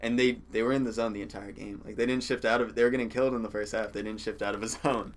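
A young man chatting casually on a podcast, soft-spoken and patient as he explains, laughing often. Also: fairly low pitch, fairly even intonation, very fast, a little hiss or hum. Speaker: comedian guest.

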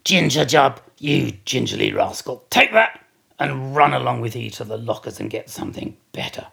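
A man's voice: mid-range voice, lively intonation, normal speed, gravelly, a bit bright, breathy edge, heavily acted. A man voicing a sarcastic, indignant second mate of a whaling ship in a theatrical, emphatic reading of a classic novel. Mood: blustering, scolding.

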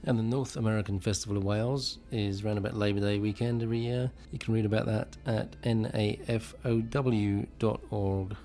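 A man's voice: fairly low pitch, fairly even intonation, fairly quick, hardly any pauses, mellow. A man hosting a music radio show, presenting casually and informally with some hesitation.

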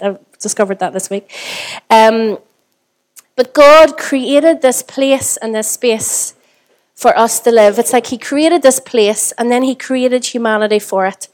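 A woman pastor with a Northern Irish accent, preaching a sermon to her congregation in a chatty way, hoarse from a cold. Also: on the high side, darkish tone. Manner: chatty, asks the congregation rhetorical questions. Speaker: lead pastor. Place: church service.

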